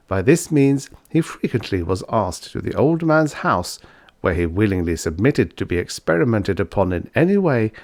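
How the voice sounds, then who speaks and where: A man reading vintage fiction aloud as an audiobook narrator, in a measured storytelling manner with expressive emphasis. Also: low-pitched, dry recording.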